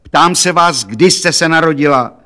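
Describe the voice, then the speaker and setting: A stiff, officious police official, voiced by a man, talking loud and clipped. Raised, somewhat high voice with bureaucratic impatience. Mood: barking.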